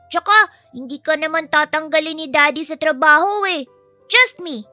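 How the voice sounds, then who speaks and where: A woman's voice: sits high, normal speed, pushed and bright, dry recording, faint music behind, voice pushed up. A woman voicing a cheeky, sweet, outspoken young child in an audio story, with a chirpy, teasing way of talking. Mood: sweet, confident. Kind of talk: acted audio story dialogue.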